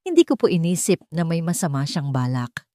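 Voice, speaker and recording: Housemaid in an audio drama played by a female narrator: timid, apologetic, trembling, mellow and dry-recorded. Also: low-pitched, sing-song, fairly quick, no room sound. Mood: confiding, regretful. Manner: trembling, deferential, hesitant.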